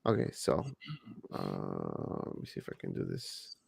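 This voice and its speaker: A man's voice: extremely low pitch, huge pitch swings, unhurried, creaky, a little mellow, husky. A man hosting a casual livestream, laughing often as he reads out viewer super chats. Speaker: livestream host.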